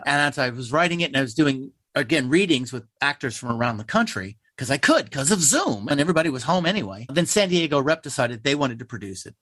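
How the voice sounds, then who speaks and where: A middle-aged American man speaking conversationally as an interview guest, an animated storyteller who acts out remembered dialogue. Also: mid-range voice, dry recording. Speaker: interview guest, theater playwright, actor and director.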